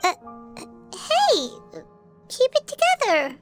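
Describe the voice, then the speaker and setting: Super high squeaky little fairy sidekick for a game, bratty, swoops all over, music behind. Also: female voice, huge pitch swings, slow pace, full character voice. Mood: alarmed. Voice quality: squeaky, childlike. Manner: brash, exclamatory. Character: small bratty floating fairy companion, childlike, cheeky. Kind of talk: video game voice acting.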